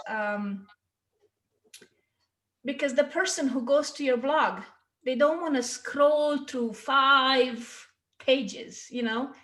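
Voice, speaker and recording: A woman's voice: on the high side, fairly bright tone, roomy sound, poor audio. A woman from Hungary with a Hungarian accent, presenting a marketing workshop in an informal, chatty way and often checking in with her listeners. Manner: chatty, checks in with "okay?" and "right?". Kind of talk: informal online teaching talk.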